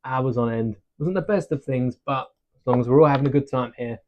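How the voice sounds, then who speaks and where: A man chats casually to his live-stream viewers as he builds, thinking aloud and poking fun at himself. His voice sits at an ordinary pitch and comes through a desk mic. The stream audio is a little dull and lo-fi. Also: brisk. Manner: relaxed, chatty, self-deprecating, thinks aloud. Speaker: livestream host building a brick set. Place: home desk during a livestream. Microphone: desk microphone.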